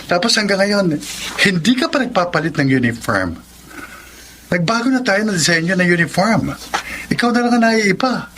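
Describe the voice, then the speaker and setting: An actor with a Filipino accent playing a stern, nagging schoolteacher in a radio drama. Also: male voice, high-pitched, lively intonation, moderate pace. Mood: stern, reproachful. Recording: clear background noise and ambience.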